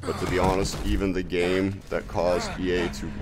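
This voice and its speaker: Man, deep voice, gaming host, casual commentary, music playing behind. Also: level tone, moderate pace. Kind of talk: casual let's play commentary.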